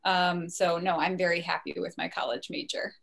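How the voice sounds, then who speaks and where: A woman's voice: on the low side, level tone, normal speed, creaky, a bit bright. A young woman answering as a panelist over a video call, enthusiastic with a few fillers. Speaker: panelist, state senator.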